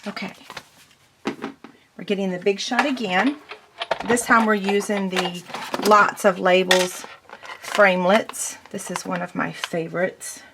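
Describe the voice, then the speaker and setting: A woman demonstrating paper crafts in a casual tutorial, her voice squeaky from strain, chatting through each step with ums. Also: steady tone, measured pace, creaky, long pauses, noisy background.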